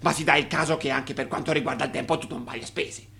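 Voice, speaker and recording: Sharp, scolding uncle. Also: male voice, a little high, fairly even intonation, quick pace, vocal fry. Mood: stern, resigned. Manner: scolding, sarcastic. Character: exasperated, sarcastic, lecturing uncle of a wayward nephew. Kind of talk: theatrical radio monologue.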